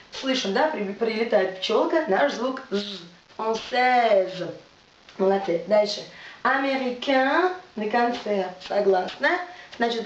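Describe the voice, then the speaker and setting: Patient woman teaching French, distant and roomy. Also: a little high. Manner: patient, repeats words slowly for the listener. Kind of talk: video language lesson. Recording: roomy, distant, dull with faint hiss.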